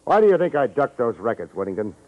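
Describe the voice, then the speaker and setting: An American actor playing a wry, self-assured tough private detective in a 1950s radio drama, talking in confident slang. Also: male voice, mid-range voice, big pitch swings, quick pace, natural voice. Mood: sardonic, confident. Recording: dull vintage radio recording.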